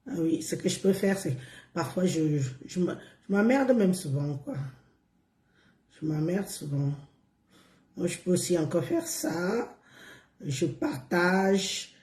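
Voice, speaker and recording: Slow, hoarse woman live streaming. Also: lowish voice, darkish tone, echoey room. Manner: repetitive, coaxing, drawn-out words. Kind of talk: casual live stream chat.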